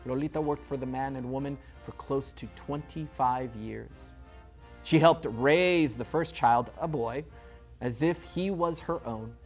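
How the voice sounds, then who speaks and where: A man's voice: medium pitch, unhurried, soft background music. Dramatic spoken-word storyteller man. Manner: dramatic, rhythmic, with swings in loudness.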